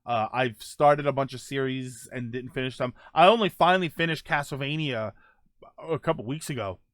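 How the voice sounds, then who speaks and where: A man's voice: mid-range voice, fairly bright tone, dry recording, studio quality. A man hosting a gaming livestream, talking casually and answering viewer comments, often rephrasing his points as he goes. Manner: halting, circles back and rephrases points.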